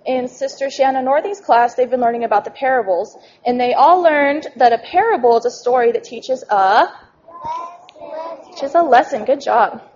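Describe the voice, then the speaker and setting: A woman with a high voice introduces a class of young children in a plain, encouraging way. The sound is muffled, with faint noise behind her. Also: expressive, moderate pace, a little mellow. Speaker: church class teacher.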